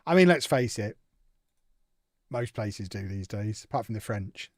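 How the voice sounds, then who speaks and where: A man's voice: lowish voice, lively intonation, a little slow, dry recording. Chatty man, dry close sound. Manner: chatty, reads viewer comments aloud and reacts with amused indignation. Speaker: game streamer. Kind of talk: casual live-stream chat while playing.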